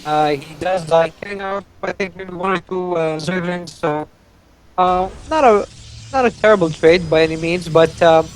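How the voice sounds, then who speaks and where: A man co-casting a live strategy game, giving measured play-by-play with frequent fillers. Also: high-pitched, a little hiss or hum, low-quality recording. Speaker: esports co-caster.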